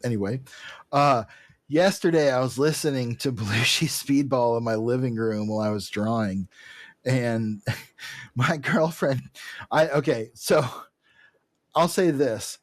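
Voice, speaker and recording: A man's voice: mid-range voice, unhurried, pauses often, no room sound. Casual male podcast co-host, dry close sound. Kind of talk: casual chat.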